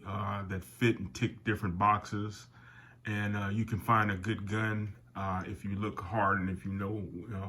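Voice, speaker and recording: A man's voice: on the low side, a bit bright, slight background noise. Older man, nasal with a cold. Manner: rambling, with many fillers and restarts, calls viewers "guys". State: has a summer cold. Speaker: airgun collector and channel host. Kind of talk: casual product talk to camera.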